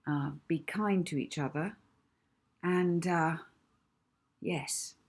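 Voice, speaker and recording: A woman author reading her own children's book aloud in an expressive storytelling style. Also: lowish voice, slow. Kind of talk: expressive children's audiobook narration.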